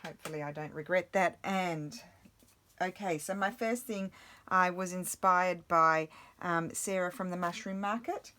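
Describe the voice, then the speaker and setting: A woman vlogging while she crafts, chatting casually and thinking aloud, her voice a little croaky from the morning. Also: lowish voice, steady tone, a little slow, sharp tone. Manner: rambling, self-interrupting, thinking aloud.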